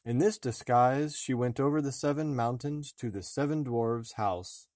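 A man reading a storybook aloud in measured, deliberate narration. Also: medium pitch, darkish tone, dry recording, studio quality. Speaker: storybook reader, a father. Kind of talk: read-aloud fairy-tale narration.

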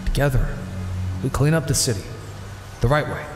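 An actor playing an earnest, guilt-ridden older vigilante hero in an audio drama, pleading yet resolute. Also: male voice, mid-range voice, animated, normal speed, natural voice. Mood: firm, persuading. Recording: echoey, reverberant hall sound. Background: music.

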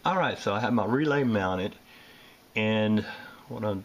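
A man's voice: lowish voice, lively intonation, measured pace, highs cut off, a little hiss or hum. A man with a Southern American accent, an HVAC technician, explaining a furnace wiring job step by step with plenty of uh fillers, filmed on a handheld camera indoors. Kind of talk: hands-on repair walkthrough. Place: indoors at a furnace in a basement or utility space. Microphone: handheld camera filming close-up work.

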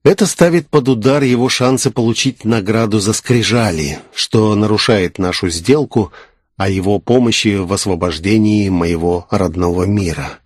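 Man narrating, slightly husky, dry sound. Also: on the low side, moderate pace, no echo. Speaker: audiobook narrator. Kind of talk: scripted first-person fantasy narration.

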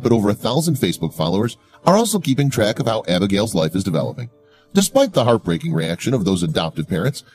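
A man's voice: mid-range voice, darkish tone. A man reading a scripted human-interest story as a voice-over narrator. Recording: background music behind voice-over. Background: music.

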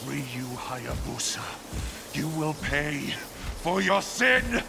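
Game villain: arrogant lightning fiend, grand and disdainful, higher-set voice, measured pace, prominent music behind. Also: male voice, big pitch swings, creaky, exaggerated voice. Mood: vengeful anger. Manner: pompous, theatrical, disdainful. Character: haughty male greater fiend, master of lightning. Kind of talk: dramatic video game villain acting. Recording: loud music under the voice.

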